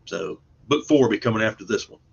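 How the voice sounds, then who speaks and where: Guy with a lowish voice chatting in an interview, folksy n modest, muffled lo-fi sound. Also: expressive, quick pace, creaky, slight background noise. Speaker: guest, comic book artist and writer. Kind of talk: casual interview chat. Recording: dull lo-fi online call audio.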